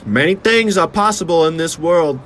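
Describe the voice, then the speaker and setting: A man's voice: fairly high pitch, animated, normal speed, a bit bright. A man acting a cocky, jovial, bossy construction worker on stage, boastful and needling. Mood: pushy. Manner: boastful, needling, blue-collar banter. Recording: outdoor recording with background noise, sirens.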